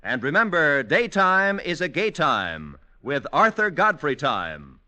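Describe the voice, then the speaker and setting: A man's voice: on the high side, sing-song, slow, sharp tone. A man reading scripted announcements in a 1950s network radio announcer style. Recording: vintage radio broadcast. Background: music.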